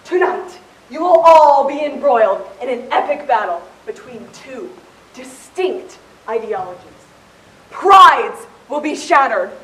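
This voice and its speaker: A woman's voice: high-pitched, bright, forceful tone, exaggerated voice. A woman playing a theatrical, bossy young debate moderator with grandiose, dramatic emphasis in an amateur stage play. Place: stage in a hall. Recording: echoey hall, distant mic, hissy.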